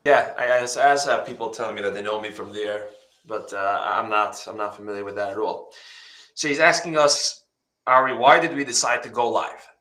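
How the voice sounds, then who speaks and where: Man from New York, live co-host reading out chat, bright forceful tone, echoey room. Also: on the low side, moderate pace. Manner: relays viewer messages in a loose, filler-heavy way. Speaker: co-host reading viewer questions.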